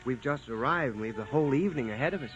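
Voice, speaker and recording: An actor playing a dull, dutiful and courteous young suitor in an old-time radio drama. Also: male voice, mid-range voice, lively intonation, racing, natural voice. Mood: solicitous. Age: young adult. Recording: dull old radio recording, music behind.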